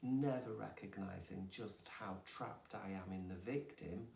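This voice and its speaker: A woman's voice: a bass voice, a little slow, gravelly, darkish tone, slight background noise. A woman, a yoga teacher, talking candidly and expressively about her own healing and laughing at herself. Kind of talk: candid personal conversation. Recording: roomy sound from a distant mic.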